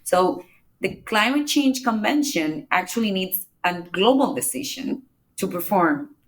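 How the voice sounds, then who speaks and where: A woman from the Republic, giving measured step-by-step answers as a podcast guest. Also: mid-range voice, expressive, rough recording. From Dominican Republic. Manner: measured, explains step by step with small restarts. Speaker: climate negotiator, podcast guest. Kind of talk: explanatory interview answers.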